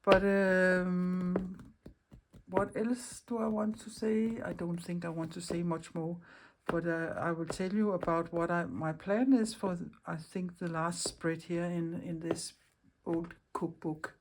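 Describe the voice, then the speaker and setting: A woman with a Danish accent chats through her craft plans in a friendly, unhurried way. Her voice sits a little low for a woman, and she often pauses and restarts as she searches for the right English word. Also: steady tone, noisy background. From Denmark. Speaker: craft channel host. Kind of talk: casual craft vlog chat.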